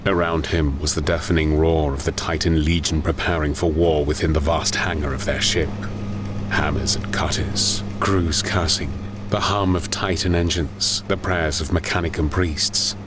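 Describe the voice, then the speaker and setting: Very deep-voiced male story narrator. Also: noisy background. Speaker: narrator and voice performer. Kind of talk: dramatic scripted audio-story narration.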